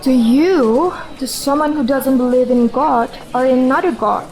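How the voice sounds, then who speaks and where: A woman's voice: sits high, normal speed, a little mellow. An actress playing a skeptical younger woman in a radio drama, questioning and challenging as she talks.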